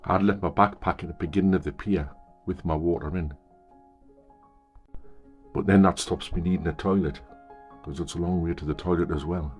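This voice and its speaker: A chatty older man narrates his travel vlog from outdoors on a long pier, throwing in wry little asides. His voice sits very low. A clear music bed runs underneath him. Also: fairly even intonation, normal speed, a little mellow. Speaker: travel vlogger.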